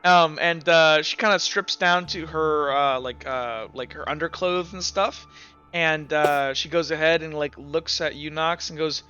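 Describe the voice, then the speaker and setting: Bright-voiced male game master, filler-heavy. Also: on the high side, steady tone, unhurried, smooth. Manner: hesitant, filler-heavy, piecemeal delivery. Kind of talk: improvised tabletop game narration. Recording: dull audio, faint music behind. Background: faint music.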